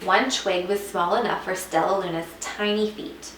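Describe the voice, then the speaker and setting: A woman reading a children's picture book aloud as a teacher would, with expressive, dramatic emphasis. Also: fairly low pitch, normal speed. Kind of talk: expressive scripted storybook narration. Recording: echoey room, distant mic, faint noise.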